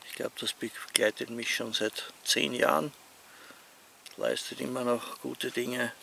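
A middle-aged man with an Austrian accent talking casually and haltingly to camera as an outdoor vlogger. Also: medium pitch, steady tone, creaky, sharp tone. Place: outdoors among leafy trees. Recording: outdoor ambient noise.

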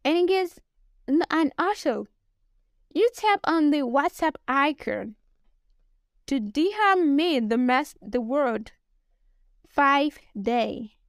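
Nigerian-accented woman, business English coach, slow, dry sound. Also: sits high, expressive, no room sound. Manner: spells out words slowly, promotional. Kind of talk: solo podcast monologue.

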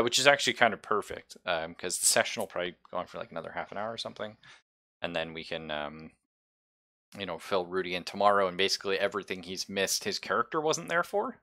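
Bright-voiced man running a tabletop game. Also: on the low side. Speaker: game master. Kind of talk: casual narration of a tabletop game.

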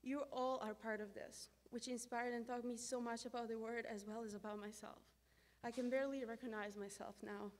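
A young woman with an Italian accent reads an earnest graduation speech into a podium microphone. Her voice sits a little high and rings through a long gym reverb. Her English is careful and heartfelt. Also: steady tone, brisk. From Italy. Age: young adult. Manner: earnest, reading from notes at a podium. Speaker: exchange student giving a graduation speech. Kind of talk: prepared ceremony speech. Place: large school gym.

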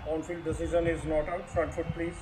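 A male umpire runs a review over a stadium feed, giving short procedural instructions. His voice sits a little high and sounds distant. Clear noise runs under the whole feed. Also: level tone, brisk. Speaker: match umpire on the review channel.

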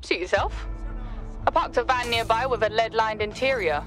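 An actress playing a cheeky, sly smuggler in a video game, with a drawling, sardonic, teasing manner. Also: female voice, on the high side, normal speed, music in the background, lightly acted. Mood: wry, teasing. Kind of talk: video game cutscene acting.

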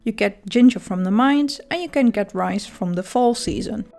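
A woman's voice: medium pitch, animated, normal speed, vocal fry, a little mellow, soft background music. A woman with a Dutch accent, a native Dutch speaker, narrating a scripted game guide with dry, self-mocking humor.

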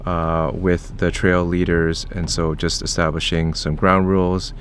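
A man narrating a casual camping and off-road vlog in a low-key, informal way, recorded outdoors. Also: level tone, a little slow. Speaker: vlog host. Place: outdoors at a campground and trails. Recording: background noise, outdoors on location.

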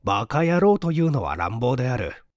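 A man's voice: mid-range voice, big pitch swings, a little fast, darkish tone, dry recording. A man reading a literary story as a scripted audiobook narration. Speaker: audiobook narrator and stage actor.

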